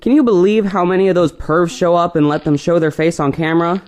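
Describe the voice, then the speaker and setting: A man plays an easygoing boy of about fourteen in an audio play. The voice is on the high side, with a casual, slightly cocky and flippant way of talking. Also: fairly even intonation, fairly quick, a little mellow, lightly acted. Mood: breezy, chatty.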